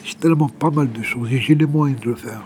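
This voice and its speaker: A man's voice: mid-range voice, brisk, darkish tone, a little hiss or hum. A man gives a personal testimony in informal, conversational language, repeating words as he searches for the next. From Algeria, Kabyle. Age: 60s. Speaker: interviewee, exiled journalist living homeless.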